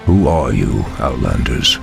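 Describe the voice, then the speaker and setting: Stern, unforgiving elven elder for a game cinematic: deep, grave, righteous, with dramatic swings in pitch. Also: male voice, big pitch swings, a little slow, gravelly, over music, heavily acted. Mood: grave, wary. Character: stern male night elf elder brother, righteous, unforgiving. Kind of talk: video game cinematic voice acting.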